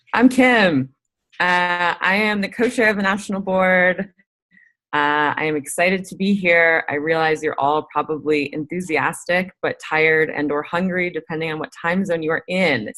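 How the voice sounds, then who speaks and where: A woman's voice: sits low, fairly bright tone, dry recording. A woman giving an informal presentation on an online group call in an upbeat, self-deprecating way. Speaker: board co-chair presenting.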